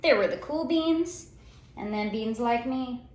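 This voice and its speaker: A woman reading a children's story aloud in an expressive, theatrical way, with dramatic pauses and drawn-out words. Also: on the high side. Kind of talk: expressive picture-book storytelling. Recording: roomy, echoey sound.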